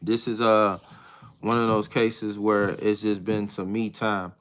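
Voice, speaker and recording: A man in his thirties hosting a casual live chat with viewers, talking in a hesitant, reflective way with many pauses and restarts. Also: on the low side, level tone. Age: 30s. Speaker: livestream host.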